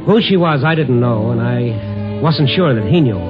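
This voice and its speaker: Male actor: worldly, kindly American reporter-narrator, mid pitch, vintage radio drama, muffled with music. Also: lively intonation, moderate pace, lightly acted. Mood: somber, reflective. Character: worldly, protective newspaperman narrating his own story, kindly. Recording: dull old radio recording with music.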